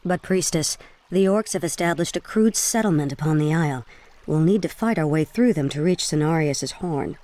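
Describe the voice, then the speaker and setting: A woman's voice: deep voice, moderate pace, warm tone, no echo, lightly acted. An actress playing a dutiful, urgent female night elf huntress in a video game. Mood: urgent warning. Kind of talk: video game cutscene acting.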